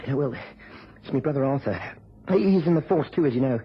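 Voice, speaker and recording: An earnest, anxious young English policeman played by a man in an old British radio play. His delivery is lively and swinging, with hesitant, deferential turns. The recording is dull with faint noise. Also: medium pitch, fast, close to an everyday voice. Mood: worried. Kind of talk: vintage British radio drama acting.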